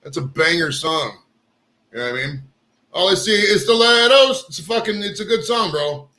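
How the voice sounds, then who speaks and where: Male podcast host, casual and profane, bright forceful voice a bit high for a man. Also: animated, moderate pace. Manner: loose and profane, says bro and dude. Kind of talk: casual chat.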